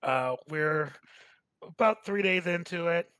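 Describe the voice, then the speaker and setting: Man, casual host, halting delivery, slow pace, dry close sound. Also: a little high, sharp tone, smooth, no echo. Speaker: host of a staged game reading. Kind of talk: casual commentary and introductions.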